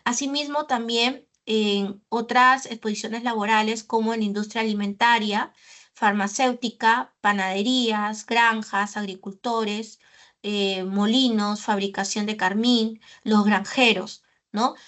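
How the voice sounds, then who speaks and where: A woman pulmonologist giving a medical lecture, explaining carefully and often pausing on filler sounds and tag questions. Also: fairly high pitch, fairly even intonation, a bit bright. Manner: didactic, with frequent "¿no?" tags and "eh" fillers.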